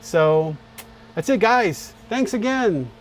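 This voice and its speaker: A man's voice: sits high, lively intonation, takes it slowly, slight background noise. A man hosting a live-stream chat for his video premiere, rambling casually with lots of fillers and restarts. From born on a US Navy base in Morocco, raised in many places. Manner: rambling, many fillers and repeated starts.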